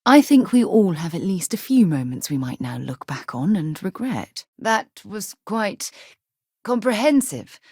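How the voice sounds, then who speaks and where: A woman voicing a composed, principled space explorer in a video game, with articulate, measured, formal phrasing and a husky voice. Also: mid-range voice, big pitch swings, a little mellow, no room sound, clean studio sound, heavily acted. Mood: composed, wry. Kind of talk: video game companion voice lines.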